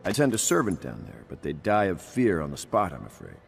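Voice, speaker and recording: An actor playing a grave, resolute loyalist leader in a video game, speaking in a formal, measured, oratorical way. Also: male voice, low-pitched, wildly swooping pitch, creaky, slight background noise, heavily acted. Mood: businesslike. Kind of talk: scripted game dialogue.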